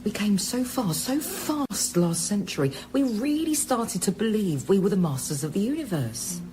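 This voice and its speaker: Actress doing tired thoughtful female reporter, radio drama, expressive pitch, noisy ambience behind. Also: moderate pace, close to an everyday voice. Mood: reflective. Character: weary, reflective female news journalist. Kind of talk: naturalistic radio drama acting. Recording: clear background ambience noise.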